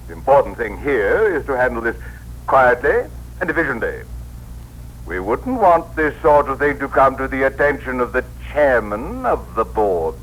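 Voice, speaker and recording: An actor playing a pompous, irritable director, officious and imperious, in a 1960s radio drama. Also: male voice, mid-range voice, sing-song, normal speed, a bit bright. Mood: impatient. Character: pompous, irritable bureaucratic director, male boss. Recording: noisy vintage radio broadcast recording.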